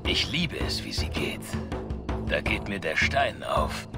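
Gravelly, leering rogue. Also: male voice, sits low, huge pitch swings, takes it slowly, full character voice. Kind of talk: video game voice acting. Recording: loud background music.

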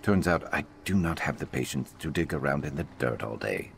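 A brooding reptilian adventurer from a video game, speaks in an extremely low, creaky growl. The delivery is dry and grumbling, yet it swings expressively up and down, wry and gruff throughout. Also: male voice, expressive, brisk, a little hiss or hum, exaggerated voice. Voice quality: gravelly. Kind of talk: video game companion dialogue.

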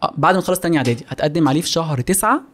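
A man's voice: fairly high pitch, racing, a bit bright. A man in his twenties with an Egyptian Arabic accent, chatting casually as a podcast guest at a close studio microphone. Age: 20s. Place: small home-style studio office, indoors. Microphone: close studio microphone on boom arm.

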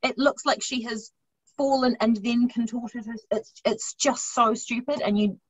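A woman in her thirties chatting casually and jokingly on a panel, with an animated way of talking. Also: on the high side, unhurried, husky, low-quality recording. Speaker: podcast panellist. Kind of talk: casual chat. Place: room at home, video call.

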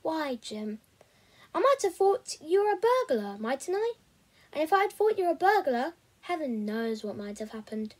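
A woman's voice: sits high, very animated, moderate pace, darkish tone, pitched above the performer's own voice. A woman voicing a hot-tempered mother who talks in a falsely polite, mocking way. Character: sweetly menacing mother with a wild temper.

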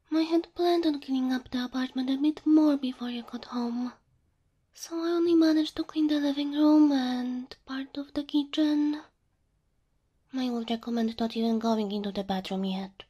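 Slow, breathy, high-voiced woman doing ASMR. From Slavic Eastern Europe. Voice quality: soft, breathy. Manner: tender, slow, coaxing. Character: gentle, doting, submissive young cow-girl girlfriend. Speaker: ASMR roleplay performer. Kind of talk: ASMR roleplay. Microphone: close microphone.

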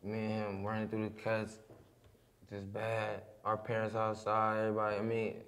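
A young man from Hunters Point, a rapper guest talking low-key and slangy in a studio interview. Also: completely flat delivery, moderate pace, a bit bright. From Hunters Point, San Francisco. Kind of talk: casual interview chat. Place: studio set.

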